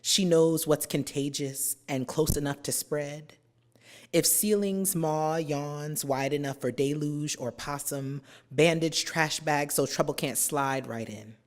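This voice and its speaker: American poet woman reading at a symposium. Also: low-pitched. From New Jersey, USA. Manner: chatty, um-filled introductions and slow, measured verse. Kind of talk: poetry reading with spoken introductions. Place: university symposium venue.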